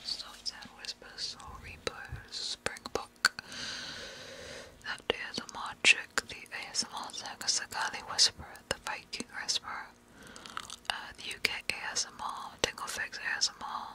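A young woman whispering softly as she chats with viewers of her live stream. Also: moderate pace. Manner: soft unvoiced whisper throughout. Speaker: ASMR creator reading live chat.

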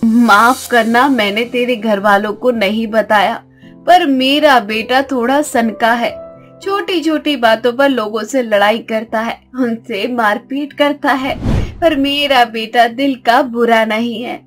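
A woman's voice: on the high side, slow, faint music behind. A woman voicing a fair-minded, protective older mother-in-law who speaks earnestly in a moral story. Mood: confessing. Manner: admonishing, earnest.

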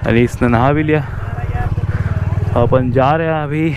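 A man's voice: medium pitch, fairly even intonation, unhurried. A man in his twenties chatting casually in friendly slang as he vlogs on the go. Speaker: vlogger. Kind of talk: casual on-the-go vlog chat. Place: outdoors, on a motorbike by a road. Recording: clear outdoor road and motorbike noise.

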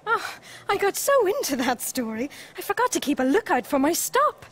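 A woman playing a friendly, excitable children's show presenter, talking in simple phrases with exaggerated expression. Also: sits high, normal speed. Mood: flustered.

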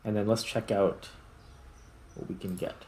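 A man giving casual, unhurried gameplay commentary, thinking aloud as he plays. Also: fairly low pitch, fairly even intonation, darkish tone. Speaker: host, gamer.

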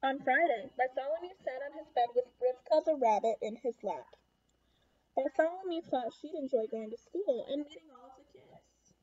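A young woman reading a picture book aloud to children in an animated, expressive way. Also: sits high, normal speed, highs cut off. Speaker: children's read-aloud host. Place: small room at home.

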